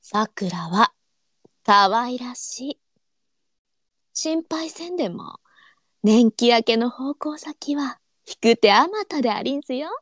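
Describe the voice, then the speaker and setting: A woman playing a gentle, unflappable senior courtesan in a period audio drama, teasing and speaking in archaic courtesan dialect. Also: a little high, animated, slow, long pauses, dry recording, studio quality, heavily acted. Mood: gentle, composed.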